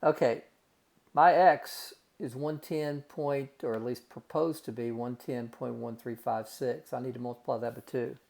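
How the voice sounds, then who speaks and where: A man's voice: mid-range voice, fairly even intonation, measured pace, gravelly, darkish tone. A man teaching a recorded math lesson, working through calculations step by step in a folksy way and calling his students guys and gang.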